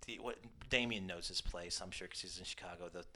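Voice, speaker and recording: A man's voice: low-pitched, fairly quick, noisy background. A man in his thirties telling a rambling story in a casual chat, self-deprecating and trailing off. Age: 30s.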